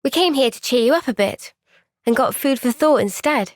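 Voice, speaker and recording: An actress voicing a gentle, kind and steady young woman soldier in a game's cutscenes, with a Welsh accent and a soft-spoken, caring, thoughtful way of talking. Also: sits high, normal speed, no room sound, clean studio sound. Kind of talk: video game cutscene dialogue. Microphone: close studio microphone.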